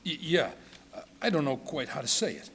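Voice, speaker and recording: An actor in a radio drama re-enactment playing a distraught father, halting and agitated as he confides in a friend. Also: a little high, very animated, a bit bright, slight background noise. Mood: nervous, hesitant.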